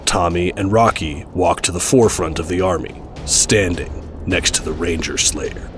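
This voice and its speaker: Male narrator, audio drama: low-pitched scripted narration over light music. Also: moderate pace.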